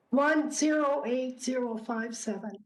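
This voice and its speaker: A woman councillor, losing her voice from a cough, reads a motion haltingly with many fillers and clears her throat. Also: high voice, rough recording. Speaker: city councillor. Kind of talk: reading a motion at a council meeting.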